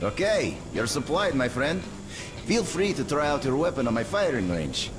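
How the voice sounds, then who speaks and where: A man playing a friendly, brisk underground survivor in a video game, matter-of-fact and folksy in his talk. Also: mid-range voice, very animated, music in the background, natural voice. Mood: businesslike, helpful. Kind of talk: video game character dialogue.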